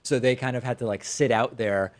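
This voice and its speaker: American male talk show host, medium pitch. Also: fairly even intonation, brisk. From Argentina, moved to the United States at five. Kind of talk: conversational storytelling and commentary.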